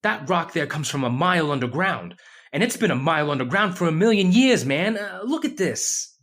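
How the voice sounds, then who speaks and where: A man playing a skinny, jittery oil rig geologist in an old-time radio horror drama, speaking in a nervous, halting way. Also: on the high side, sing-song, a bit bright, exaggerated voice. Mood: urgent, alarmed.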